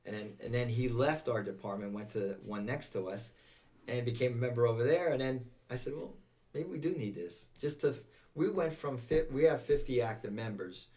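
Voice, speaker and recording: An older American man speaks as a guest in a casual, reminiscing chat. He circles back over his phrases as he goes, in a mid-pitched voice that sits a little away from the mic. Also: moderate pace, a little mellow, far from the mic. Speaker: interview guest, veteran volunteer firefighter.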